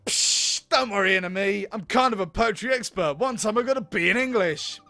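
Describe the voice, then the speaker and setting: A man's voice: high-pitched, a little fast, bright, forceful tone, faint music behind. A man playing a scatterbrained, overconfident, dramatic loafer in a comedy visual novel, with silly delivery. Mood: mock serious.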